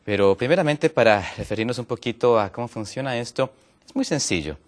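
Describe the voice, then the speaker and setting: A man from Ecuador, a natural medicine health counselor, explaining as a TV guest with many hesitant fillers and pitch rising on his points. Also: lively intonation, a little fast. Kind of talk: explaining on a TV talk show.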